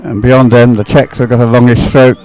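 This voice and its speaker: A man with a dark, relaxed voice of middling pitch, co-commentating on live sport. His delivery is conversational and musing, breaking off and picking up phrases as he goes. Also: brisk, poor audio. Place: open-air commentary position.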